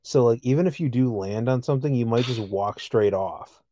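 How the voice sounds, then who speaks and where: A man's voice: medium pitch, normal speed, dark tone, no echo, studio quality. A man co-hosting a casual gaming chat, complaining in a blunt, profane way. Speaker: podcast co-host. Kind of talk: casual video game chat.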